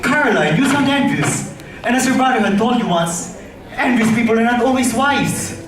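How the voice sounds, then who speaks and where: A teenage boy playing a proud, earnest young gentleman suitor, reciting formally in an amateur stage style. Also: very high voice, animated, normal speed, a crowd in the background. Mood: firm, reproving. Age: teens. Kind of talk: declamatory amateur stage acting. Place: large indoor hall, school stage play. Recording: echoey hall, distant mic, loud music behind.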